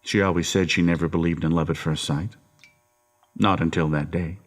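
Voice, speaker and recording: A man's voice: sits low, steady tone, moderate pace. An actor playing a menacing, controlling middle-aged father in an audio horror drama, with a folksy, coaxing, domineering manner. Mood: wistful, haunted.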